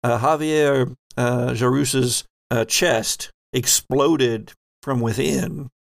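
A man narrating a tabletop role-playing game as game master in a deliberate, descriptive way. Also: medium pitch, fairly even intonation, gravelly.

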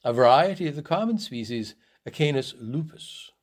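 A man's voice: mid-range voice, animated, moderate pace, natural voice. Guy doing a storybook lord, pedantic animal expert, formal and unbothered. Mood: measured. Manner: formal, precise. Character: pedantic, unruffled male lord, wild beast expert.

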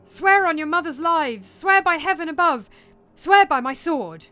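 A woman's voice: fairly high pitch, normal speed, fairly bright tone, clean tone, soft background music, lightly acted. A woman voicing a distraught, vengeful young prince in a storybook reading, urgent and emphatic. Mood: urgent, commanding.

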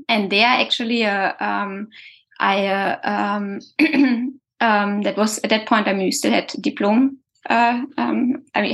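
A woman's voice: medium pitch, vocal fry. A woman, answering interview questions in a hesitant way with many fillers and restarts. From Germany. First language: German. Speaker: guest scientist.

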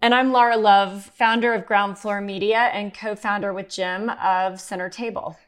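A woman's voice: medium pitch, fairly even intonation, moderate pace, smooth. A woman co-hosting a podcast, reading polished, upbeat introductions and asking interview questions. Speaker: podcast co-host.

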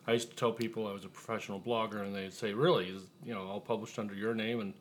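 A man's voice: on the low side, little pitch movement, fast, slight background noise. A man from the United States chatting as an author guest, explaining things in a conversational way. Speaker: author guest, humor writer and ghostwriter. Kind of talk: casual interview chat.

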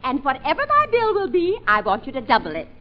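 Female comic role: fluttery rich matron, high swooping voice, gushing and dithery, muffled vintage radio recording. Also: wildly swooping pitch, fast talker. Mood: effusive. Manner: flustered, effusive, ladylike. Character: fluttery, gushing society matron with sticky fingers. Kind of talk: old-time radio comedy acting.

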